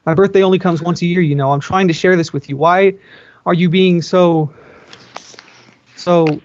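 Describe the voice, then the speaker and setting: A man's voice: sits high, fairly even intonation, normal speed, a little mellow, dry recording, lo-fi sound, voice pushed up, lightly acted. A man voicing a desperate, lonely young sister in a story, pleading insistently. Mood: frustrated shouting.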